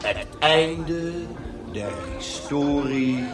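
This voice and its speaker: Oily grandiose showman, high-ish, very animated, distant in an echoing hall, radio play. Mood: showy, jovial. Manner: showy, drawn-out, jokey. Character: oily, grandiose male showman hosting a doomsday dinner show. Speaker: master of ceremonies. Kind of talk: theatrical cabaret patter in a radio play. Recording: echoey hall, distant mic, loud music, crowd.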